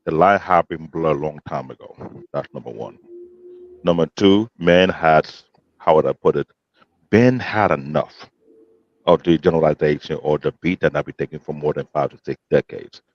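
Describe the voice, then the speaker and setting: A man, speaking earnestly and formally on a live panel, repeating himself for emphasis. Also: normal speed. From Nigeria. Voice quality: deep voice. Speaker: panel guest. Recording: dull muffled voice-chat audio.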